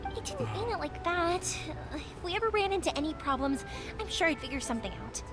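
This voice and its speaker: A woman's voice: extremely high pitch, expressive. A woman voicing a cheerful young fireworks maker in a game, bubbly and reassuring. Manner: bubbly, upbeat, quick to reassure. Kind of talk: game dialogue. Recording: game audio with music behind.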